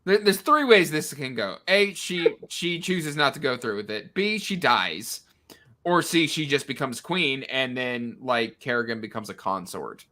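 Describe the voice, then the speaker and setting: A man's voice: medium pitch, expressive, unhurried, bright, forceful tone. A man chatting casually as a panelist in a book discussion, animatedly acting out characters' lines in a mocking way. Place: indoors on a livestream video call.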